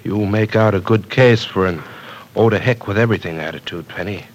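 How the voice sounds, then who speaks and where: A man's voice: on the low side, a little fast, slight background noise, natural voice. An actor playing a brooding, unhappily married young man in an old radio drama. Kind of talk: 1940s radio acting.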